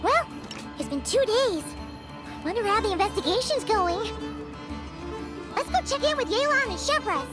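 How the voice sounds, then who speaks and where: Casting: tiny female fairy sidekick, extremely high squeaky childlike voice, animated, game style. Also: moderate pace, pauses often. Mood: curious. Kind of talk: video game voice acting. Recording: background music under the voice.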